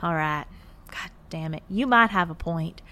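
A woman's voice: on the low side, unhurried, pushed and bright. A woman playing a stubborn, teasing moonbase worker in a naturalistic audio drama, colloquial and feisty. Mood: stubborn, resigned. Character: wisecracking, stubborn, gruffly fond injured female moonbase worker.

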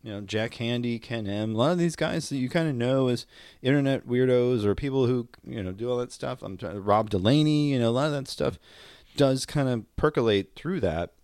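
A man's voice: medium pitch, fairly quick, darkish tone, clear, resonant tone, dry recording, studio quality. A man co-hosting a podcast in a casual, comedic, rambling and wry way. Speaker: podcast co-host.

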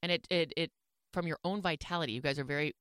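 A woman's voice: sits low, fast talker, a bit bright, clear, resonant tone, no room sound, clean studio sound. A woman co-hosting a radio show, bantering casually and reading aloud playfully.

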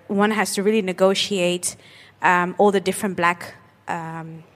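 A woman's voice: mid-range voice, a bit bright. Woman host on a panel, hesitant and reflective, long reverb from a hall. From Ethiopia. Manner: thoughtful, hesitant, restarting often. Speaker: host and programmer. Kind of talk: panel discussion.

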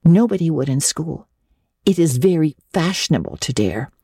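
A woman voicing a dreamy, talkative schoolgirl in a storybook, with earnest, dramatic and breathy emphasis. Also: low-pitched, lively intonation, moderate pace, mellow, no room sound, studio quality, heavily acted. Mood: thrilled. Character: dreamy, talkative, romantic schoolgirl with vivid imagination. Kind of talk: dramatic audiobook reading of classic novel.

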